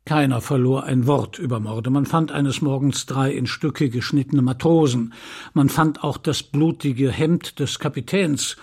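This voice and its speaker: A man reading as a traveling storyteller who calmly recounts grotesque adventures in a literary radio feature, with a gravelly, husky voice. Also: mid-range voice, measured pace. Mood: matter-of-fact, macabre. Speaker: literary reader.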